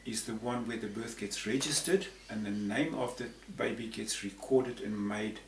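A man's voice: on the low side, moderate pace. A man in his sixties from Zambia, explaining things in a rambling, conversational way and often repeating words. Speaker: panel guest. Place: room with patterned tapestry backdrop. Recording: roomy, distant, faint hiss.

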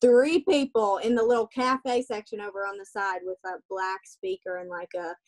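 A young adult woman answering interview questions over a video call, chatty and relaxed, with lots of fillers. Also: medium pitch, moderate pace, poor audio. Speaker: guest, singer. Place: small room indoors. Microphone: webcam on a video call.

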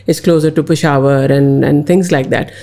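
Low-voiced Pakistani businesswoman recalling childhood. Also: fairly even intonation, mellow. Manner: halting, many uh fillers and repeated words, code-switches to Urdu. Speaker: guest, business founder. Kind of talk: conversational interview. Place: small indoor studio with lamps. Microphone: large studio mic on boom arm.

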